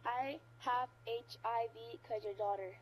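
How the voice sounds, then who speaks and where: A woman making an improvised prank call in a deadpan, repetitive way over online voice chat. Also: medium pitch, unhurried, a little hiss or hum, rough recording. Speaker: prank caller.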